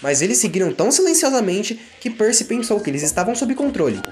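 A man's voice: sits high, very animated, fast talker, darkish tone, faint music behind. A man with a Brazilian accent narrating a scripted children's story.

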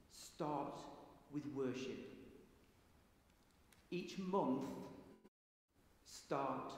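A middle-aged man preaching a sermon in a large stone church, slow and deliberate with long pauses. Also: on the high side, darkish tone. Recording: echoey church with long reverb.

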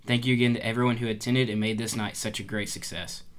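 Teen student reporter, quick scripted delivery, lower voice. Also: male voice, fairly even intonation. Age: teens. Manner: brisk read-aloud delivery. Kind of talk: scripted news voice-over.

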